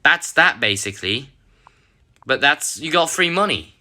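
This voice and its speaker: A man with a half-lost, hoarse voice, rambling through a casual mobile game tutorial with lots of self-corrections. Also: fairly high pitch, lively intonation, a little slow, pushed and bright. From United Kingdom. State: partly lost voice, throat-clearing. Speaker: gaming channel host.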